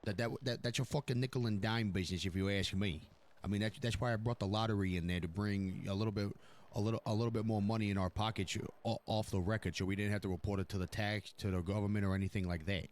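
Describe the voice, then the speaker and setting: A man's voice: on the low side, steady tone, fast talker, darkish tone, close to an everyday voice. A man playing a blunt mafia boss in a crime roleplay game, swearing heavily and repeating his words as he goes. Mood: blunt, probing. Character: blunt, foul-mouthed male mafia boss running his crew. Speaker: roleplay streamer.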